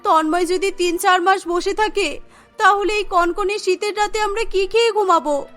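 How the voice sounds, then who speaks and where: A woman's voice: very high-pitched, fairly even intonation, moderate pace, a bit bright, soft background music. A woman voicing an earnest, pleading woman of a poor family in a cartoon story. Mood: anxious, distressed.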